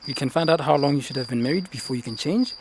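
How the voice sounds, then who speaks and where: An actor with a Botswana accent playing a reasonable, placating young fiancé in a radio drama, soothingly mixing English and Setswana. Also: male voice, medium pitch, racing, noisy background, close to an everyday voice. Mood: anxious, conceding. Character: reasonable, placating young fiancé defending his money decision.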